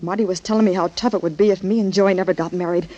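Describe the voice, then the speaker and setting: Tough, worried moll type for an actress, female, vintage radio play. Rapid slangy delivery, dull old recording. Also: on the low side, fairly even intonation, dark tone, natural voice. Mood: accusing, agitated. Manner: blunt, slangy, urgent. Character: tough, anxious boxer's girlfriend, working-class and streetwise. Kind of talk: 1940s radio drama acting.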